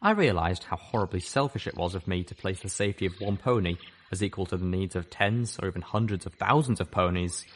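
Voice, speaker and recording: A man reading a story aloud as first-person narration, expressive and dramatic. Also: sits low, brisk, slight background noise. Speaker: audiobook reader.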